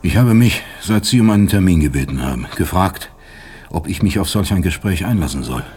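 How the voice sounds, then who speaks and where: An actor in a German radio play playing a proud, bitter older East German professor of psychiatry, articulate, lecturing and sardonic. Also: male voice, deep voice, lively intonation, a little fast, darkish tone, faint music behind. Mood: indignant, cold.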